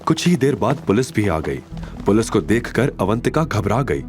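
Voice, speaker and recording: Animated male audio drama narrator. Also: on the low side, moderate pace, over music. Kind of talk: scripted dramatic story narration.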